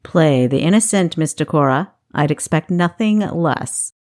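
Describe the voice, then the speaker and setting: A woman's voice: low-pitched, expressive, measured pace, a little mellow. A woman voicing a cocky, charming male thief in an audiobook, with a sly, teasing manner. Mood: sly, insinuating. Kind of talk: audiobook character acting.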